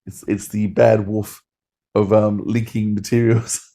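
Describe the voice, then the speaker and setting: A man's voice: fairly low pitch, flat delivery, a little slow, creaky, mellow. A man co-hosting a podcast, giving a casual review in an enthusiastic, rambling way. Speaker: podcast co-host.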